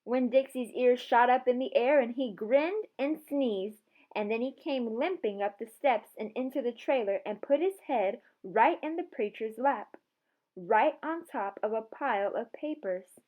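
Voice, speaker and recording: A woman teacher reading a storybook aloud and talking to her students in an expressive, encouraging way. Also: on the high side, measured pace, lo-fi sound. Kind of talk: storybook read-aloud and lesson to camera.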